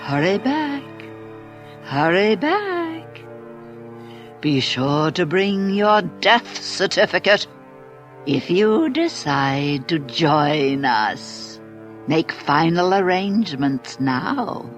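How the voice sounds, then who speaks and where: A man voicing a ghoulish ghost host who cracks dark jokes in a slow, drawn-out, sinister way. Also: fairly high pitch, sing-song, faint music behind, full character voice.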